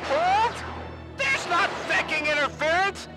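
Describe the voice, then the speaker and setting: A man playing a frantic male soldier calling over the radio, shouting and swearing, in a fan-made audio drama. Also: very high voice, expressive, takes it slowly, over music, crowd noise, heavily acted. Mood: panicked.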